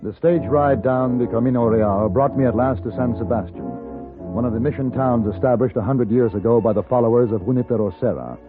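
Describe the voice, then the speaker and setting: A man playing a suave, dry-witted American gentleman gunfighter in a 1950s radio western, urbane and wry. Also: mid-range voice, brisk, music in the background, lightly acted. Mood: measured. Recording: muffled old radio broadcast recording.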